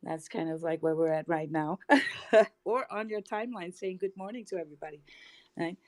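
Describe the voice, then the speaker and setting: A bubbly woman hosts a live online audio chat, her voice sitting a little low for a woman. She talks in a loose, chatty stream, laughing easily and trailing off before she finishes a thought. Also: normal speed. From Netherlands. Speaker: host of a live crypto audio space.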